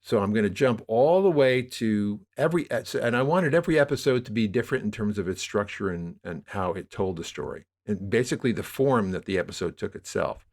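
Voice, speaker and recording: An older man in his sixties with a fairly low voice. He speaks as a podcast guest, explaining his work conversationally, halting and restarting with emphasis as he works through each thought. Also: lively intonation, a little mellow. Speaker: podcast guest, audio drama producer. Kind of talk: conversational interview storytelling.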